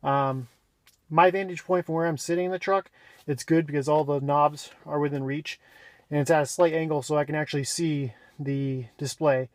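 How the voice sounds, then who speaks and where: A man giving a casual, unscripted walk-around explanation in a plainspoken, halting way, pausing mid-phrase, recorded on a handheld camera mic around his vehicle. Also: on the high side, fairly even intonation, no echo. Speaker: vlogger showing his own vehicle radio install.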